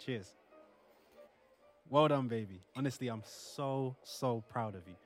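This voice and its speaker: A man's voice: medium pitch, measured pace, close to an everyday voice. A man playing a devoted, flustered young expectant father in a modern audio play. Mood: tender, proud. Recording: music bed under the dialogue.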